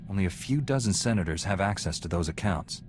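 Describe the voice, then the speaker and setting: Earnest Jedi hero, low voice, measured and formal, game dialogue, faint ambient noise. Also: male voice, animated. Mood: serious. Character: composed Jedi Knight hero, calm and earnest man. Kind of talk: video game dialogue acting.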